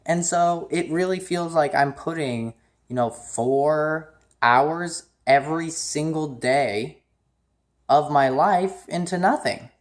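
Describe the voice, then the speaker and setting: A nineteen-year-old man from the United States giving a casual, rambling vlog update, full of ums and restarts. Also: medium pitch. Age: young adult. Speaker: YouTuber.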